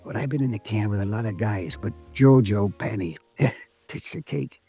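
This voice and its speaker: An actor playing an ailing American convict informant in a 1950s radio drama, husky, rambling and slangy as he coaxes urgently. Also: on the low side, moderate pace, mellow. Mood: confiding. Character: ailing convict informant, wheedling, excitable, streetwise. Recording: vintage radio recording with music.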